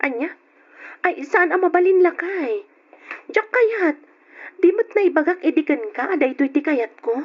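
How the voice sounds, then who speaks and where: A woman playing a soft, emotional expectant wife in an old-style radio drama. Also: very high-pitched, animated, measured pace, long pauses. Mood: gentle, reassuring. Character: expectant wife, soft, reassuring, attached to her job. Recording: dull lo-fi radio drama audio.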